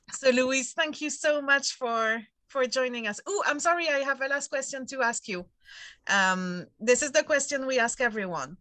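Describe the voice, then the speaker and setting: High, bright giggly woman co-host. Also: a little slow, dry recording. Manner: giggly, effusive. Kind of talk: casual video call interview. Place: home room indoors. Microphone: laptop webcam mic.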